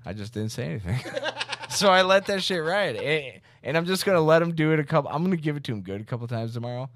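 Animated American guy with a stutter. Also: fairly high pitch, quick pace. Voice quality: stutters on some words. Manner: crude, joking, slangy. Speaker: comedy podcast co-host. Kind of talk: casual comedic banter and storytelling. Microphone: podcast microphone.

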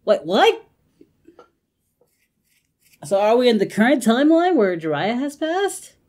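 A woman's voice: a little high, very animated, measured pace, a little mellow. A woman hosting a reaction video in casual commentary, exclaiming and often repeating her phrases. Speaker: host of a reaction channel.

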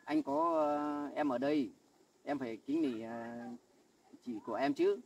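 A man's voice: medium pitch, measured pace, darkish tone, distant mic. A man playing a defensive husband who tries to placate his jealous wife in an amateur family skit.

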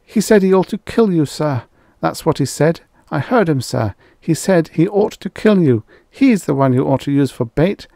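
A man's voice: a little high, animated, moderate pace, vocal fry, mellow, no echo, studio quality, pitched above the performer's own voice. A man voicing a frightened, servile hunting guide who stammers and pleads as he reads a science fiction story. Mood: desperate, tattling. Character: terrified, pleading, cowardly male hunting guide.

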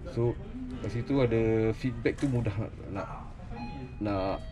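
A man's voice: fairly low pitch, unhurried, background noise. A young man with a Malaysian accent explaining technical things casually, hesitating and searching for words. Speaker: audio specialist. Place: small cluttered office, indoors.

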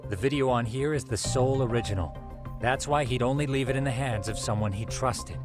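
An actor playing a geeky, composed young tech expert in a video game, explaining things in a measured way. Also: male voice, mid-range voice, music in the background, close to an everyday voice. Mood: reasoning. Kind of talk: video game cutscene dialogue.

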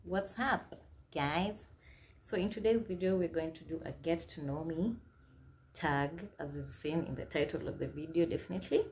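A young woman with a Kenyan accent speaks in a deep, low voice, reading out questions and answering them in a relaxed, chatty way. Swahili phrases slip into her talk now and then. She sits close to the camera in a small room, and a faint hiss runs under the recording. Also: moderate pace. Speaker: YouTuber. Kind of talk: casual Q&A vlog. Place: small indoor room, seated at a plain wall.

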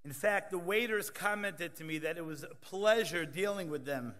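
A man in his thirties emcees a conference from a podium in a large hall. His voice is a little higher than most men's. He reads introductions from his notes and drops in joking asides. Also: normal speed, fairly bright tone. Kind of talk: scripted introductions with light jokes. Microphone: podium microphone.

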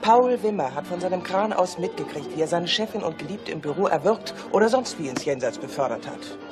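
An actress plays a shrewd policewoman in a German radio drama. Her voice sits on the low side and she sounds self-assured and teasing, with music clearly behind her. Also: lively intonation, fairly quick, natural voice. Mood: matter-of-fact, assured. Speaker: police officer.

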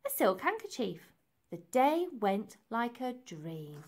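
A woman reading a children's book aloud in an animated way, with dramatic emphasis for young listeners. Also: fairly high pitch, takes it slowly, a little hiss or hum. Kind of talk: expressive storybook narration.

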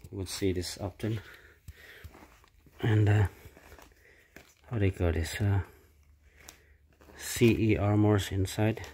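A middle-aged man with a Filipino accent, off camera in a carpeted room, speaking in a halting, casual way with many fillers and restarts as he shows an item to a handheld phone camera. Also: on the low side, a little mellow. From Philippines. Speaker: product reviewer. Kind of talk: casual unboxing commentary.

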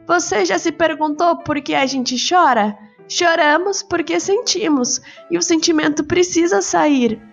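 Woman playing a gentle high-voiced storybook mother. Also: a little slow, vocal fry, hardly any pauses, soft background music, exaggerated voice. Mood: reflective, consoling. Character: gentle, reflective mother explaining life to her son. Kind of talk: children's bedtime story dialogue.

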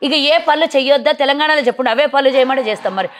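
A woman's voice: high voice, racing. A woman, a party politician giving combative interview answers full of rhetorical questions, recorded outdoors on a lapel mic.

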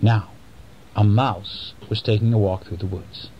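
A man's voice: on the low side, fairly even intonation, unhurried, dull audio. A middle-aged man playfully telling a bedtime story to children, improvising and checking in with his listeners as he goes. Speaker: father reading bedtime stories to children. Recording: faint noise, homemade recording.

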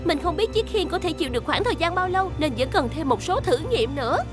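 A woman playing a bright young female inventor in a dubbed children's cartoon, upbeat and reassuring as she explains. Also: very high-pitched, lively intonation, fast talker. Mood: proud, upbeat. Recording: loud music behind the voice.

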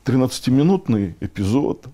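A man in his sixties giving conversational interview answers, explaining haltingly and posing rhetorical questions. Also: mid-range voice, sing-song, warm tone. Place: meeting room, indoors.

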